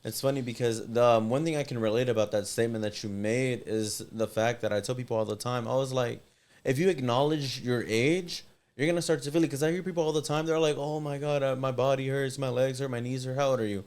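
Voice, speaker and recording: A man from Dallas hosts a podcast interview, relaxed and conversational with easygoing asides. His voice is smooth and clear at a medium pitch. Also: quick pace. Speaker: podcast host. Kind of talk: casual interview chat.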